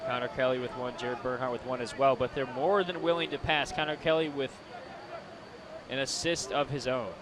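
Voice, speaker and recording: A man's voice: mid-range voice, little pitch movement, a little fast. An American man giving color commentary and analysis during a live lacrosse broadcast. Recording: live game, clear background noise. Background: outdoor game noise.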